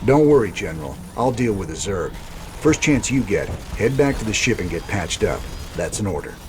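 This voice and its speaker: An actor playing a steady, seasoned rebel commander in a video game, laconic and wry. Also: male voice, lowish voice, moderate pace, noisy background. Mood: sober, resolute. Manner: laconic, easygoing resolve. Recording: loud music behind the voice.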